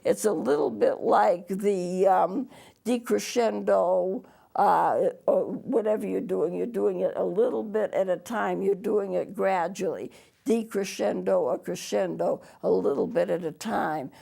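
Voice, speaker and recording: A woman music teacher with a throaty, worn voice, gravelly with heavy fry. She talks at an unhurried, slow pace, patiently spelling out terms letter by letter in a small TV studio. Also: mid-range voice, dark tone. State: losing her voice, throaty. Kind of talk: televised music lesson.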